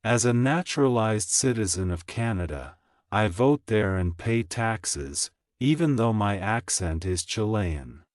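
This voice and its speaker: Male narrator for a language lesson, lowish voice, dry close recording, careful even delivery. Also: unhurried, dry recording. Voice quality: plain, even reading of each sentence, repeated. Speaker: English teacher reading practice sentences. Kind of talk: slow, clear scripted reading for shadowing practice.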